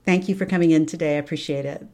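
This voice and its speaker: A woman with a somewhat low voice asks her guest questions with curiosity and encouragement. She sounds like a podcast host keeping the talk going. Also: steady tone, very fast. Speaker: podcast interviewer. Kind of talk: interview questions.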